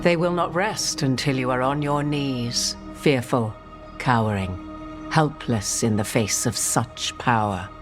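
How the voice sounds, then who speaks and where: An actress playing an imperious, ominous witch in a fantasy game, deliberate and archaic in speech. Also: very deep voice. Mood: haughty, ominous. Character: haughty, ominous witch lady. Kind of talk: cinematic fantasy game acting. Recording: music under the voice.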